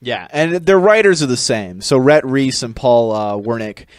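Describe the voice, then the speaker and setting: A man's voice: mid-range voice, lively intonation, normal speed, no room sound, clean studio sound. A man co-hosting a podcast in a casual, rambling discussion style. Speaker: podcast co-host.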